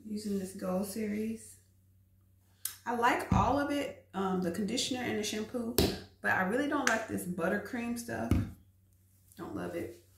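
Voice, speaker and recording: A woman's voice: medium pitch, measured pace, pauses often, slight background noise. Chatty woman vlogging in an echoey home. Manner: chatty, laughs often, talks to viewers directly. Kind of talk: casual cook-with-me vlog. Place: home bathroom, then kitchen. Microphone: phone camera at arm's length.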